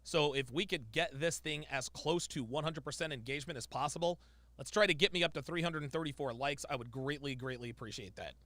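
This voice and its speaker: A man's voice: a little high, fairly quick, pushed and bright, no echo. A man streaming live, reacting to a clip with emphatic, repetitive ranting commentary.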